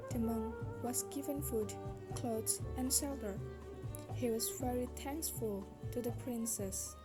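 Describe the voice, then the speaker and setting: A woman's voice: a little high, poor audio. A woman narrating a scripted children's story.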